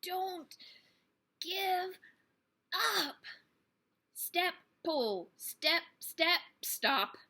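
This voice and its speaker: A woman's voice: extremely high pitch, animated, very slow, long pauses, sharp tone. A woman reading a children's book aloud expressively, with dramatic, emphatic phrasing for young listeners. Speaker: teacher reading a story aloud.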